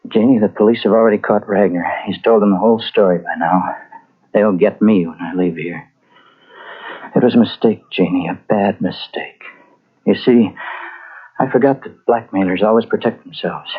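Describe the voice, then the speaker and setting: A man's voice: on the low side, slightly rough. An actor playing a guilt-ridden, weary and bitter man confessing a murder in a 1940s radio mystery. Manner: brooding, dramatic. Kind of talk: 1940s radio mystery acting, first-person narration. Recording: muffled old radio recording with music behind.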